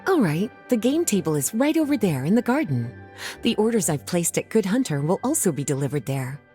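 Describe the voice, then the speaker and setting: An actress plays a poised adult woman librarian in a video game. Her mellow, velvety voice moves in lively curves, indulgent and gently teasing, with soft music behind. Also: mid-range voice, lightly acted.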